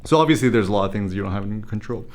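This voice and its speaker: Rambling American man, low voice. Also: animated, very fast. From United States. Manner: rambling, thinking aloud with fillers and restarts. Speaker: photography blogger and YouTuber. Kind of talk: casual motivational talk to camera.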